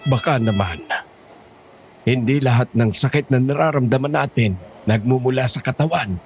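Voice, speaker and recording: A man's voice: medium pitch, moderate pace, soft background music, natural voice. A man playing an earnest, concerned and level-headed companion in a horror audio drama. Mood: grave, suggesting.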